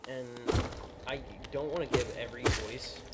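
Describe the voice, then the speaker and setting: A young man with a shrill voice commentates his gameplay, rambling through fillers and half-finished phrases. He talks into a USB microphone. Loud game music plays behind him the whole time. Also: normal speed. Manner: rambling, full of fillers and restarts. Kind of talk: casual let's-play chat.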